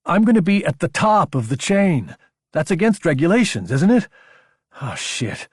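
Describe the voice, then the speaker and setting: A man's voice: on the high side, sing-song, moderate pace, breathy edge, no echo, clean studio sound, voice pushed up. A man voicing an anxious, earnest young military officer in an audiobook, protesting in informal speech. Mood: indignant, dismayed.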